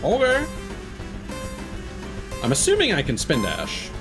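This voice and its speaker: A man's voice: fairly high pitch, huge pitch swings, takes it slowly, music in the background. A man doing casual live gameplay commentary as a streamer, thinking aloud as he plays. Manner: thinks aloud, mild swearing. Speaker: video game live streamer.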